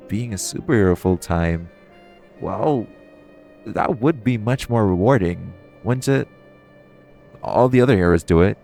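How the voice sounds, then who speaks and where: A low-pitched, flirty male supervillain played by a man in an intimate audio roleplay. He is cocky and curious, teasing the listener in a casual, conversational way with playful pauses. Music plays clearly behind him. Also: darkish tone. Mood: coaxing, flirty.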